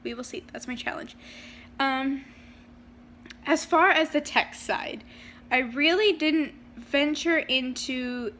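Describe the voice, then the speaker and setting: Bright young woman chatting to camera. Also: high voice, a little hiss or hum. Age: young adult. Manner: chatty, frequent ums, speeds up and slows down. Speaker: vlogger. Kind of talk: casual personal storytelling. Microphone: handheld camera.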